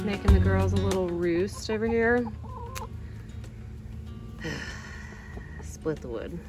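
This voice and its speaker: A young woman chatting to her phone camera in a relaxed vlog while driving and doing yard work. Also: mid-range voice, slow, loud music behind the voice. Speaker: lifestyle vlogger. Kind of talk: casual vlog narration. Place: car interior and outdoor garden. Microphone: phone camera at arm's length.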